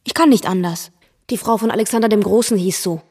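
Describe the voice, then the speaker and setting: Woman acting as bookish girl narrator, medium pitch, measured, earnest, judgmental, radio drama. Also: darkish tone, slightly rough, natural voice. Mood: matter-of-fact. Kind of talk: first-person radio play narration.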